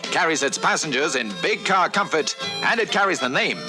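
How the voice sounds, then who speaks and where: Casting: male advert actor, vintage radio patter, animated, music bed. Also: a little high, brisk. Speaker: commercial voice-over.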